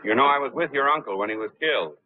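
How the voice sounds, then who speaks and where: An actor playing a cool, suave gentleman sleuth in a 1930s film, speaking smoothly and politely on a tinny soundtrack. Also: medium pitch, animated, racing, natural voice. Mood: easy, composed.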